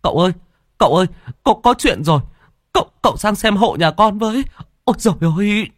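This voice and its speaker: A frantic village man, acted out by a male storyteller, begging through tears. The voice sits high and swings widely, close and dry in the manner of an audio tale. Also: animated, fairly quick, dry recording. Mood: panicked. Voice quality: high, tearful voice. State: crying.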